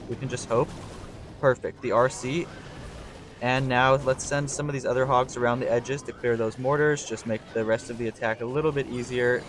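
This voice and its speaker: Slightly hoarse young man commentating gameplay. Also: medium pitch, a little hiss or hum. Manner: talks through his thinking, excitable on big plays. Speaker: gaming channel host.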